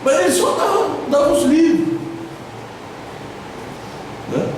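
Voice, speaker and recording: A Brazilian pastor with a southern Brazilian accent preaching a sermon in a church hall, with sudden loud emphasis and slowly drawn-out stresses. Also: male voice, very high-pitched, big pitch swings, noisy background. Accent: southern Brazilian Portuguese. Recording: echoey church hall from far off.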